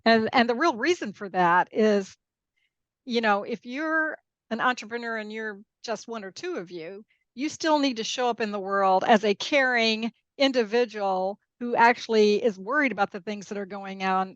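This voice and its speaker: A woman's voice: medium pitch, normal speed, no room sound, dull audio. A woman who grew up in Peru and Mexico, explaining her views emphatically in a conversational interview, often checking in with the listener. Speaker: podcast guest, international corporate lawyer.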